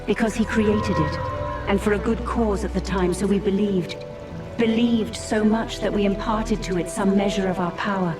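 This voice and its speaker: A woman playing a solemn, regretful ancient guardian, speaking in measured lines in a fantasy game cutscene. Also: mid-range voice, fairly even intonation, natural voice. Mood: grave regret. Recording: music behind voice. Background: music.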